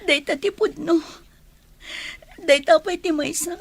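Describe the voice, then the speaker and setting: Young Filipina radio-drama heroine: very high voice, slow delivery, tearful and apologetic. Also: female voice, gravelly, no echo, heavily acted. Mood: pleading, tearful. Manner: pleading, emotional. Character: tearful, apologetic young woman pleading with her friend.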